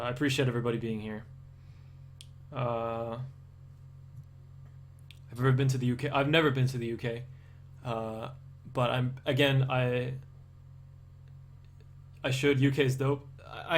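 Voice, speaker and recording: A man's voice: mid-range voice, a little hiss or hum. A young Danish man with an American-leaning accent, chatting casually with viewers on a live stream and rambling with many restarts. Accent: Danish, aiming for American English. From Denmark.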